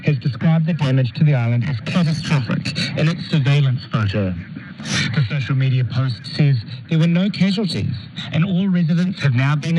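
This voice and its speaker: A man delivering a scripted news report as a radio reporter. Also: a little high. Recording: noisy, dull shortwave radio reception.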